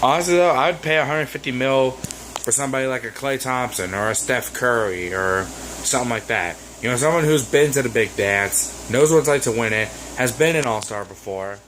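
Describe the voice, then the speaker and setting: A man with a hoarse voice, ranting about sports in a casual vlog, rambling and exasperated with lots of fillers. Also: medium pitch, normal speed, a bit bright, slight background noise, rough recording. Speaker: sports vlogger.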